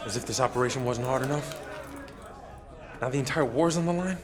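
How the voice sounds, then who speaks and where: A man's voice: mid-range voice, fairly quick, a loud crowd, natural voice. An actor playing an uneasy navy airman grumbling with wry doubt in a war game. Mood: wry unease. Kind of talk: cinematic video game acting. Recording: loud music, outdoor sounds.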